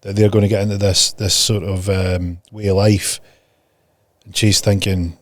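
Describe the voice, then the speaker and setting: A man's voice: low-pitched, level tone, moderate pace, no room sound. A man hosting a podcast, introducing and questioning a guest in a conversational interview style. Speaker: podcast host.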